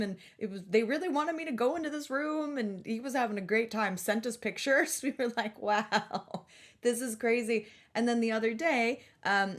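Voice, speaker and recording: High-voiced woman chatting on a podcast. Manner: animated storyteller, swears casually. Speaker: podcast host. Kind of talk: casual podcast chat.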